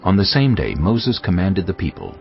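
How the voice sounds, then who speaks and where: A man's voice: sits low, big pitch swings, normal speed. A man narrating a dramatized audio Bible as a grave, formal storyteller. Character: grave male narrator of scripture. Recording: dull band-limited audio over music. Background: background music.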